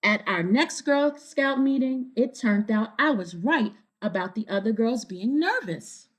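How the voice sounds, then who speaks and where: A woman reads a children's story aloud at an unhurried pace, with a very animated, swooping pitch. She stops to explain things simply and checks in with her young listeners.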